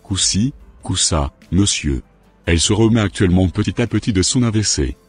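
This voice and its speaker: A man voicing a matter-of-fact hospital doctor in a cartoon, with a husky voice and measured delivery. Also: low voice, lively intonation. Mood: calm, frank. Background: faint music.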